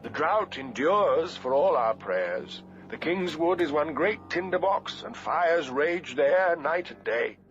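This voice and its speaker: Male narrator as a gossiping tippling cleric, audiobook drama, theatrical and emphatic, lifted pitch, muffled lo-fi sound, music behind. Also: expressive, takes it slowly, few pauses, fairly bright tone. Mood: grave, foreboding. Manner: theatrical, emphatic, archaic phrasing. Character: gossiping male septon, worldly drinker, grave and scornful. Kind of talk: dramatic audiobook character reading.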